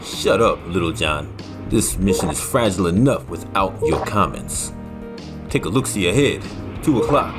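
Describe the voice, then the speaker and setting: A man playing an unflappable, wry American pilot in a motion comic, speaking in a deadpan, dismissive way. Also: sits low, moderate pace. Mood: gruff, impatient. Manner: sardonic, deadpan. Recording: loud music behind.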